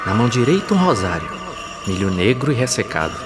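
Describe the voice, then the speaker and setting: A man playing a mourning countryman in an animated film, reciting bleak rhythmic burial verse. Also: lowish voice, brisk, music in the background.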